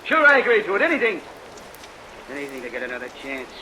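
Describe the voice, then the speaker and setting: An actor playing a desperate condemned killer in old radio melodrama, wild and cackling. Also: male voice, fairly high pitch, huge pitch swings, fast talker, bright, forceful tone, slight background noise, heavily acted, poor audio. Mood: frantic glee. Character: frantic condemned male killer begging for another chance.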